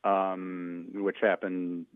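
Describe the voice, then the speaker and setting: Phone-like, low-voiced male historian. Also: completely flat delivery, no echo. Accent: American. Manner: halting, rephrases as he goes. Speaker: historian being interviewed. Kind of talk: informal explanatory interview talk. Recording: narrow, tinny phone-like sound.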